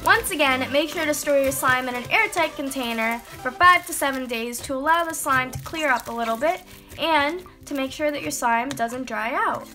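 A woman's voice: high-pitched, normal speed, bright, forceful tone. A young woman getting over a cold, hosting a slime-making tutorial in a bubbly, enthusiastic voice-over, explaining each step. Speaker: slime-making channel host. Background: background music.

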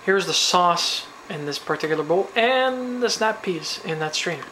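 A woman hosting a home cooking video, relaxed as she explains each step at the stove in her kitchen. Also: fairly low pitch, normal speed. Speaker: cooking show host. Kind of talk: casual cooking demonstration. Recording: home video with loud frying noise. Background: loud sizzling from frying.

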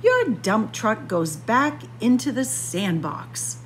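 Slow, very animated woman reading to children. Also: on the high side, slight background noise. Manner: playful, expressive. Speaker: children's librarian, story time reader. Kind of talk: picture book read-aloud for young children. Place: indoors, library with bookshelves.

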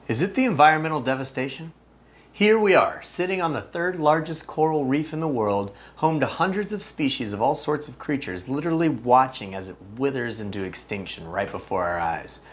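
A man in his thirties co-anchoring a mock news broadcast in an earnest, mock-serious reporter tone. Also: mid-range voice. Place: small studio set.

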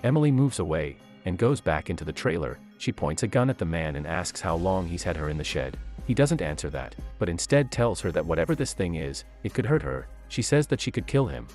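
A man narrating a movie recap in a scripted, steady storytelling manner. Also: deep voice, brisk, hardly any pauses, faint music behind.

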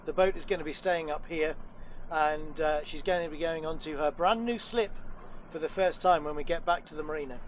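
A man in his fifties talks casually to a camera held at arm's length, out on a sailboat. His voice sits slightly high and easygoing, with a self-deprecating humour. A faint hiss lies under the open-air sound. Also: fairly quick. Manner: relaxed, chatty, self-deprecating. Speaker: vlogger host. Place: outdoors on a sailboat.

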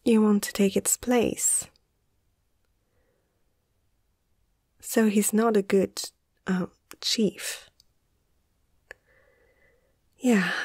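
A young woman playing a caring, slightly awestruck vet in a soft-spoken ASMR roleplay, gentle and hesitant, often slipping into a breathy half-whisper. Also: mid-range voice, no room sound, clean studio sound. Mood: calm, curious. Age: young adult.

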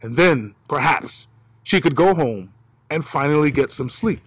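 A man in his fifties preaching a sermon outdoors as pastor, with dramatic emphasis and slow stresses, filmed on a camera held at arm's length. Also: fairly high pitch, expressive, fairly bright tone, no echo. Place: outdoors by pine trees. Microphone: phone or camera at arm's length.

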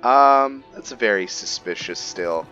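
A man's voice: on the low side, expressive, takes it slowly, pushed and bright. A man giving casual roleplay commentary on a video game, rambling and thinking aloud as he plays. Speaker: gaming video host. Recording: dull lo-fi mic under music. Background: game music.

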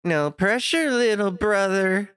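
A woman's voice: mid-range voice, animated, slow, a bit bright, smooth, no room sound. A woman playing a cocky young boy, an older brother, in an audio drama. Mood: teasing.

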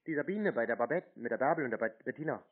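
A laconic man on a prank phone conversation, playing dumb and answering deadpan. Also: medium pitch, very fast, breathy edge, narrow, tinny audio. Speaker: prankster answering scam calls.

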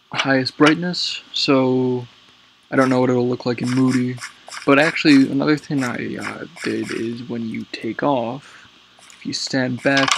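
A man casually walking through his game build, rambling and hesitant with many pauses, his voice a little raspy because he is lying down. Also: mid-range voice, a little hiss or hum, low-quality recording. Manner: rambling, hesitant, lots of ums.

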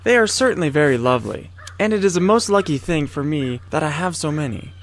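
A humble, kindly gardener in an audio drama. He is meek and eager, with a rather high voice that rises and falls freely. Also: animated, brisk, slight background noise. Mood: sheepish, cheerful. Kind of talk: theatrical audio drama acting.

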